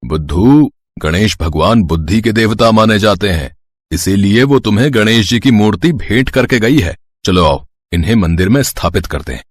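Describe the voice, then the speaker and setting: Man voicing a low, husky, fatherly rich builder. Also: lively intonation, normal speed, no room sound. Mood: fond teasing. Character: wise, kindly, authoritative middle-aged wealthy builder father.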